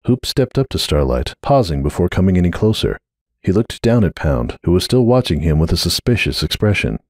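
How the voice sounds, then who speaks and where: A man's voice: deep voice, sing-song, brisk, a little mellow, no echo, clean studio sound. A man reading a scripted story aloud as a dramatic narrator.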